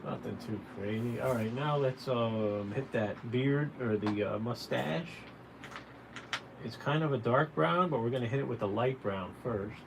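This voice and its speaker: A man's voice: medium pitch, measured pace. A man giving a casual, folksy drawing tutorial on a livestream, talking directly and encouragingly to viewers. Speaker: caricature artist hosting a drawing livestream. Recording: clearly noisy room ambience.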